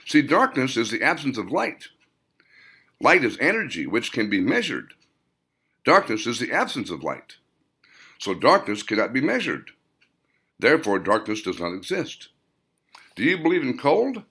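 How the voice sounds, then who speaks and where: A man's voice: medium pitch, huge pitch swings, sharp tone, full character voice. A man voicing a scholarly man who earnestly lectures on ancient languages and lost places. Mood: probing. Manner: earnest, explanatory, measured.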